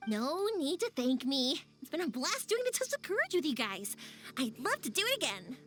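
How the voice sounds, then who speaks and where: A woman's voice: sits high, big pitch swings, moderate pace, over music. A woman voicing a friendly, easygoing young woman in a video game. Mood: cheerful, relaxed.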